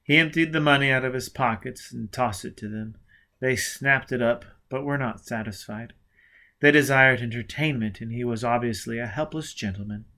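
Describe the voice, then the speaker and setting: A man's voice: medium pitch, moderate pace, bright, forceful tone. A man narrating a novel as an amateur audiobook reader, reading steadily and expressively, with occasional slips and self-corrections.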